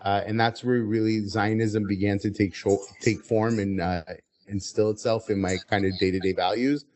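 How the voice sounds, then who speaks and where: A man's voice: on the low side, level tone, moderate pace, a little mellow. An American man speaking as an interview guest, articulate and explanatory. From United States, Southern California. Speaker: podcast guest. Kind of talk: interview conversation.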